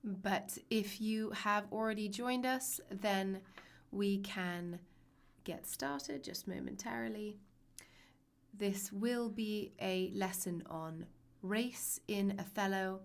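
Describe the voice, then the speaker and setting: Raspy woman teaching with a cold. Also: medium pitch, slow. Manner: chatty and reassuring, with frequent ums. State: hoarse from a cold. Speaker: literature teacher. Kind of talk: live-streamed online lesson.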